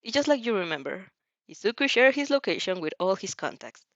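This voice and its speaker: A woman's voice: mid-range voice, big pitch swings, a little fast, a bit bright, no room sound, dull audio. A woman narrating fan fiction expressively and dramatically, sometimes stumbling over and repeating words. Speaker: fan fiction reader and dubber. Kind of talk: expressive audio fanfiction narration with character voices.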